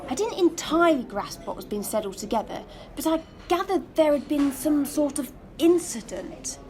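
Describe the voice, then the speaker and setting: A woman's voice: sits high, lively intonation, moderate pace, darkish tone. A woman playing a genteel, gossipy hotel receptionist in an audio drama, prim and coy. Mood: coy gossiping.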